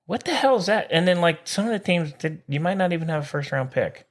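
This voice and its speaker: A man's voice: a little high, lively intonation, fast. A man co-hosting a casual sports podcast, talking in a blunt, opinionated, exclamatory way.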